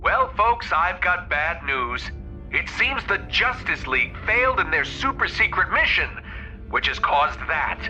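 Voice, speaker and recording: A man's voice: high-pitched, sing-song, unhurried, bright, forceful tone, soft background music, heavily acted, low-quality recording. A man playing a slick, falsely grave television newscaster in a cartoon game, theatrical and announcer-like. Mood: mock solemn. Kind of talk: mock news bulletin in cartoon game.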